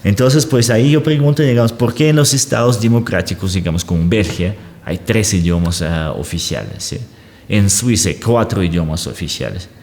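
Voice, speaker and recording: A man's voice: fairly low pitch, normal speed, a little mellow, big-room sound. A man, talking as a guest analyst in an explanatory conversation. He searches for words and often checks in with a questioning aside.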